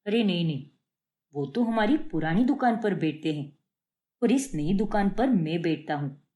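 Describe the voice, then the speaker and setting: A woman's voice: lowish voice, expressive, moderate pace, dark tone, lightly acted. A woman voicing a kind, easygoing young male shopkeeper in a cartoon story, casual and reassuring.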